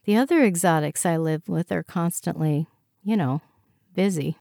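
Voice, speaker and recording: A woman's voice: fairly low pitch, normal speed, creaky, dark tone, dry recording, clean studio sound. A woman voicing a shy, self-conscious woman in her thirties for an audiobook, hesitant, earnest and polite. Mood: nervous, apologetic. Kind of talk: audiobook character voice.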